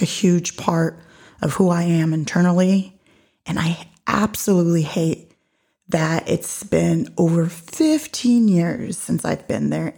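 Gravelly low-voiced woman podcast host. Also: mellow. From Puerto Rico. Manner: chatty, rambling, with many ums and restarts. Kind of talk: solo podcast monologue. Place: home in Georgia, USA.

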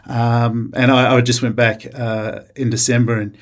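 A man in his forties from New Zealand with a New Zealand accent, a chef talking casually in an interview, pausing often with fillers and restarts. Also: medium pitch, flat delivery. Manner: hesitant, many uh fillers and restarts.

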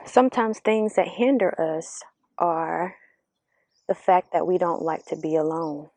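Woman, slow earnest coaching tone, low pitch, slightly raspy, dry close sound. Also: darkish tone, no echo. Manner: slow, earnest, coaching, asks the listener questions. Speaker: vlogger giving spiritual encouragement. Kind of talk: reflective motivational monologue. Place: outdoors among birds. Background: birdsong.

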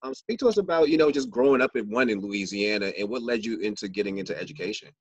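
A man's voice: fairly low pitch, expressive, fast talker, breathy edge, dry recording, muffled recording. A man hosting a casual podcast interview, chatty and upbeat with loose restarts. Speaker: podcast host.

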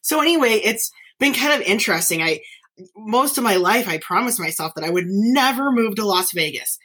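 A woman from northern Nevada hosting her own podcast, chatting casually and warmly about her life, with frequent laughs, fillers and restarts. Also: mid-range voice, animated, normal speed, breathy edge. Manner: chatty, giggly, frequent fillers and self-corrections. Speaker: podcast host, teacher. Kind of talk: casual solo podcast chat.

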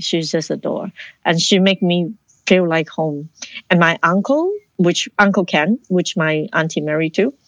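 A woman's voice: fairly low pitch, no echo. A woman from Hong Kong, a guest in an interview who tells her life story in an earnest, reflective way and often restarts her sentences. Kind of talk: personal life story in conversation.

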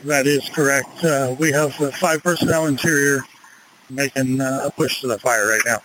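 A male fire officer with a medium-pitched voice reports over two-way radio. His delivery is terse and businesslike, with clicks and slight noise on the transmission. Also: fairly even intonation, normal speed, a bit bright, poor audio. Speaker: firefighter company officer. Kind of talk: procedural fireground radio report.

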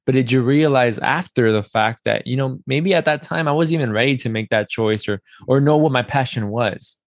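A man's voice: mid-range voice, normal speed, no echo, clean studio sound. A man hosting a podcast interview in a casual, talkative and affirming way. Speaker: podcast host.

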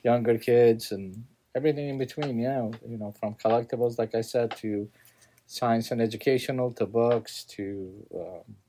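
A man, a shop owner talking casually in an interview, halting as he searches for words. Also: medium pitch, fairly even intonation, mellow. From Southern California, United States. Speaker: toy store owner being interviewed.

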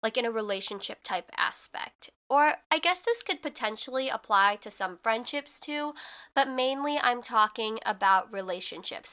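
Young woman, podcast host, congested, nasal, bright and tense tone, smooth clear voice, chatty. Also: medium pitch. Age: young adult. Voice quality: more nasal than usual. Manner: chatty, lots of filler "like". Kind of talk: casual chat.

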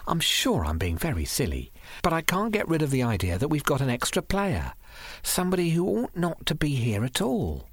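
A man's voice: on the high side, wildly swooping pitch, moderate pace, slightly rough, no room sound, studio quality, voice pushed up, full character voice. A man reading a storybook voices a genteel, uneasy woman who talks in a polite, confiding way.

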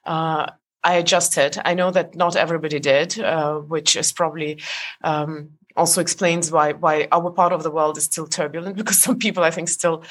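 A woman in her forties from Estonia speaks as a podcast guest with a low voice. She talks haltingly, with many fillers and restarts and light laughs. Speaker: guest author. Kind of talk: casual interview chat.

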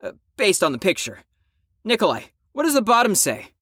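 A woman's voice: mid-range voice, huge pitch swings, brisk, fairly bright tone, husky, no room sound, studio quality, heavily acted. A woman playing a cocky, sardonic, competitive character who teases others in an audio drama. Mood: gloating. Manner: teasing, sardonic.

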